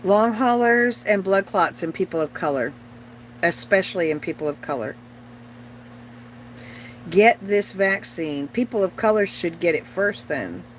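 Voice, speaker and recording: A woman's voice: mid-range voice, lively intonation, measured pace, a little hiss or hum, rough recording. A middle-aged woman chatting casually on a livestream as host, rambling and opinionated, bluntly repeating herself for emphasis.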